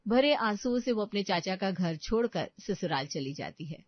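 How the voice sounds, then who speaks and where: A woman's voice: mid-range voice, expressive, moderate pace, dry recording, highs cut off. A woman narrating a scripted Hindi bedtime family story.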